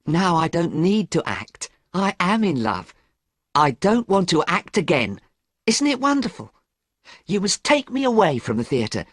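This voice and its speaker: A man putting on a lovesick young actress who pleads in a high, breathy voice that leaps about. She sounds eager and desperate in this storybook reading, recorded close and dry. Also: sing-song, a little slow, no echo, exaggerated voice. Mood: giddy happy.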